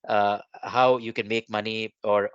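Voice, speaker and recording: Low flat-voiced man with an Urdu background. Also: smooth, no room sound. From Pakistan. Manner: halting, many fillers and restarts. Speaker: webinar presenter. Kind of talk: webinar presentation. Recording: dull lo-fi online call.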